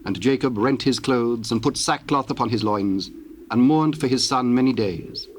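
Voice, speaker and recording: Guy reading bible narration, solemn, medium pitch, bit of cassette noise behind. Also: normal speed. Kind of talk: solemn dramatized scripture narration. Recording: hissy old cassette tape, birdsong behind.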